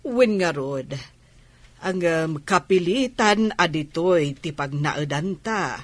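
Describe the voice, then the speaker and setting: A woman's voice: on the low side, expressive, slow, no echo. A woman playing a mild middle-aged mother in a radio comedy drama. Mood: wistful.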